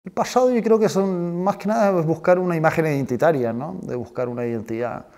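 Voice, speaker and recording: A man's voice: on the high side, brisk, darkish tone. A man from Spain and Chile talking reflectively as a film director in an interview, searching for words and often ending phrases with a questioning tag. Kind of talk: reflective interview talk about own work.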